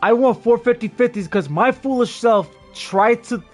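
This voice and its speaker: High-voiced man chatting on a game stream. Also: moderate pace. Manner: rambling, repeats phrases. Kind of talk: casual livestream chat over gameplay. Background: game music.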